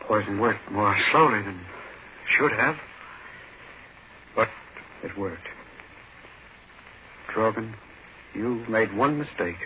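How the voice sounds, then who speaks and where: Casting: solemn doctor turned poisoner, low-pitched and unhurried, vintage radio horror, thin noisy sound. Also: male voice. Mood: grim resolve. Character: doctor, a grimly resolved poisoner. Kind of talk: 1940s radio horror acting. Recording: tinny, noisy 1940s radio recording.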